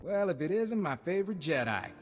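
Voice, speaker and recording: An actor playing a friendly, wry intelligence agent in a video game. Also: male voice, sits high, big pitch swings, brisk, long reverb tail, soft background music. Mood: friendly, relieved.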